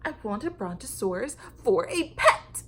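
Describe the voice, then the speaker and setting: A woman acting a shrill, screeching spoiled little girl. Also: big pitch swings, moderate pace, a bit bright, breathy edge, exaggerated voice. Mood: stubborn demanding. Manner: shrill, insistent, tantrum-throwing.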